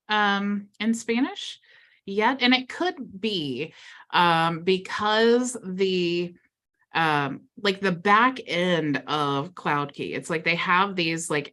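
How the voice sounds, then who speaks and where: A woman's voice: lowish voice, bright, forceful tone. A woman hosting a live Q&A video call, chatty and upbeat with lots of filler words. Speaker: mastermind call host.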